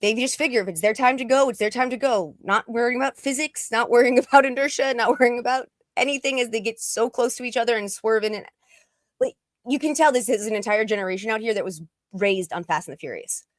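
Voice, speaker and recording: A woman's voice: a little high, fast, no room sound, clean studio sound. A woman panelist chatting casually on a podcast, laughing often as she talks, her voice still recovering from being lost. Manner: laughs often while talking. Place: home setup, California.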